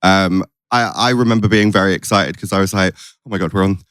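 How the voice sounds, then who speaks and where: A man's voice: deep voice, fast talker, a bit bright, clean tone, no echo, studio quality. A man hosting a podcast in a casual, playful, chatty way. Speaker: podcast host. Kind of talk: casual chat.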